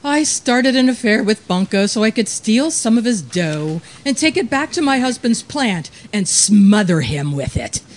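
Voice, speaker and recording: A woman's voice: medium pitch, sing-song, a little slow, hardly any pauses. A woman playing a scheming, exasperated widow and murderess in a comic old-time radio play. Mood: confessing.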